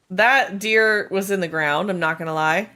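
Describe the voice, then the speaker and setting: Slightly hoarse, gravelly woman streamer. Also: mid-range voice, bright, forceful tone. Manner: chatty and playful, reading and answering chat while playing. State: slightly hoarse, losing her voice. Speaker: game streamer. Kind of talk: casual live-stream chat with viewers.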